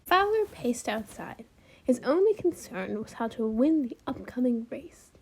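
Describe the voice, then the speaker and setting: A woman's voice: fairly high pitch, very animated, unhurried. A woman reading scripted story narration as a student volunteer in readers theater.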